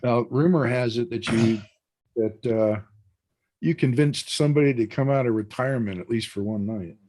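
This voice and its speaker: A man's voice: medium pitch, normal speed, mellow. A man co-hosting a podcast in casual chat, asking playful, teasing questions. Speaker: podcast co-host.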